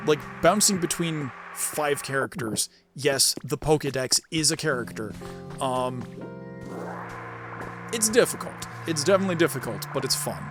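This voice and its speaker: A man giving casual, rambling gameplay commentary, thinking out loud as he plays. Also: fairly high pitch, lively intonation, moderate pace, over music. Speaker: gaming video host and amateur voice actor. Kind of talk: casual gameplay commentary with read-aloud game text.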